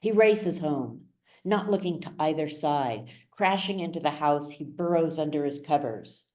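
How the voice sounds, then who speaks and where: A woman narrates an audiobook in a low, mellow voice. Her storytelling is measured and dramatic, and she takes her time over each phrase. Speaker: author reading their own novel.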